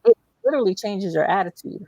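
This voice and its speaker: A woman's voice: mid-range voice, big pitch swings, fast talker, mellow, no echo. A woman hosting a conversational faith-based interview, speaking affirmingly and repeating phrases for emphasis. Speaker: interview show host.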